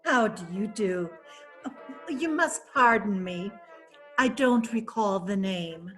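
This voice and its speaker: Very slow, measured woman playing an aloof society widow, politely distant. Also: medium pitch, expressive, faint music behind. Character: cool society widow Mrs. Courtney Page. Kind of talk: readers theatre reading.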